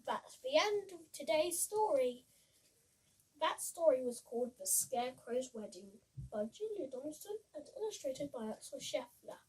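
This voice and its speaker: A female reader giving an animated, slightly halting read-aloud of a children's story. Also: high-pitched. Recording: voice far from the mic.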